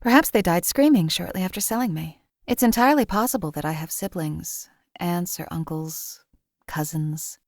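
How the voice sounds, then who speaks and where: A woman's voice: fairly low pitch, normal speed, darkish tone, dry recording, clean studio sound. A woman voicing a cold, contemptuous hired assassin in a game, speaking in a formal, clipped, disdainful way. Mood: detached. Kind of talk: game character voice lines.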